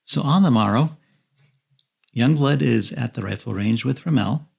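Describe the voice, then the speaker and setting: A man's voice: medium pitch, moderate pace, vocal fry, a little mellow, slightly rough. A man co-hosting a podcast, narrating a recap of a film's plot. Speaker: podcast co-host.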